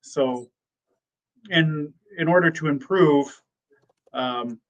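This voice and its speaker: A man's voice: a little high, drawn-out words, highs cut off. A man in his thirties, a PA school applicant answering mock interview questions, hesitant and full of ums and restarted words. He is from the United States, with German as his first language. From born in Germany, raised in the United States.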